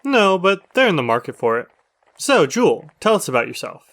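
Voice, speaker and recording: A man's voice: high-pitched, sing-song, a little fast, a bit bright, full character voice. A man playing a chatty, upbeat survivor who runs a radio show in an audio drama, talking casually and teasingly.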